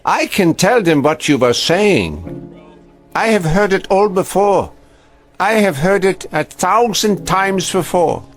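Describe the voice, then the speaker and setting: An actor playing a bitter, scornful old man who mocks a young agitator, his delivery slow and swinging widely in pitch. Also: animated, soft background music. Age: older. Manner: scornful, cutting, mocking.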